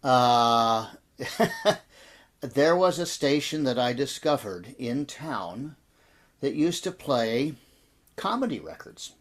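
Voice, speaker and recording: An American man in his sixties reminiscing in an interview as a guest, telling his story in a reflective, halting way. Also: medium pitch, animated. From American Midwest and Texas. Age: 60s. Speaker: interview guest, audio drama producer and actor.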